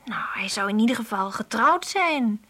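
An actress playing a spirited, matter-of-fact schoolgirl in a radio drama, chatting frankly in a breathy voice. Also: on the high side, lively intonation, normal speed, sharp tone. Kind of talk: conversational radio drama acting.

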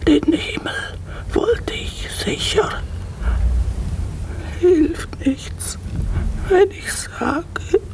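Slow, humble old maidservant. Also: female voice, very high-pitched, whispered, heavily acted, poor audio. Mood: anguished whispering. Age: older. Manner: halting, deferential old-fashioned phrasing. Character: pious, guilt-ridden old maidservant, humble and stubborn. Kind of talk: radio play acting.

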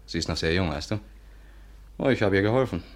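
Suave sleuth, deep voice, quick delivery, coaxing and insistent, 1950s radio play. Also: male voice, lively intonation. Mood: confiding. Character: smooth, persuasive male amateur sleuth coaxing his sweetheart. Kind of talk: 1950s German radio drama acting. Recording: 1950s radio recording, music behind.

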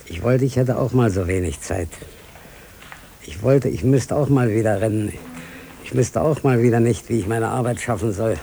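Low, gravelly, weary jobless older man. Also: warm tone. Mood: brooding. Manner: brooding, repetitive, halting monologue. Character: weary, desperate older unemployed man seeking work. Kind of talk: German radio play acting. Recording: noisy old radio play recording.

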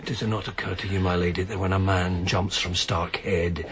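Brief: despairing Scottish man, low-voiced, flat and evasive. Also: moderate pace, a little hiss or hum. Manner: weary, flat, withdrawn. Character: despairing Scottish man after a failed suicide. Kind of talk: radio drama acting.

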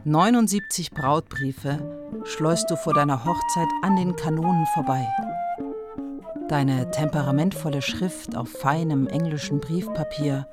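Measured woman narrator over music. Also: low voice. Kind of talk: literary scripted narration, radio play. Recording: music behind the voice.

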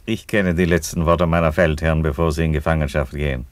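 An actor in a German radio play as an unhurried, ironic Roman emperor, speaking in a dry, deadpan way. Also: male voice, a bass voice, no room sound. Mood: ironic, calm.

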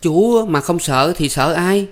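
A pert young servant woman from a novel, acted out by a male reader. The voice is lifted high, with a southern Vietnamese accent. The talk is sassy, teasing and scolding. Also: animated, slow pace. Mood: exasperated.

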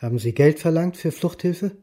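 An actor playing a brooding, dogged history lecturer turned amateur sleuth in a radio drama, terse, pensive and probing. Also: male voice, fairly high pitch, normal speed, dark tone, no room sound, studio quality, close to an everyday voice. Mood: probing, insistent.

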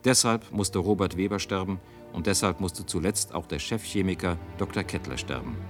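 Male narrator for radio drama recap, deep voice, scripted read over faint music. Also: moderate pace.

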